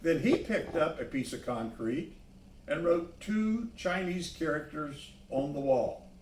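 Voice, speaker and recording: Male picture-book reader, unhurried delivery, medium pitch, addressing young listeners, recorded at a distance in a roomy space. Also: expressive, slight background noise. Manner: pauses to point out the pictures. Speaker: storybook reader. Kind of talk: read-aloud children's story narration with asides on the pictures. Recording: echoey room, distant microphone.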